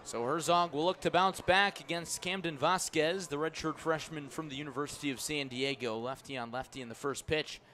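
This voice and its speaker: A man with a somewhat high-pitched voice calls a baseball game live on the radio. His play-by-play comes in crisp, clipped phrases, as a sports announcer delivers it. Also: fairly quick, few pauses, pushed and bright, a little hiss or hum.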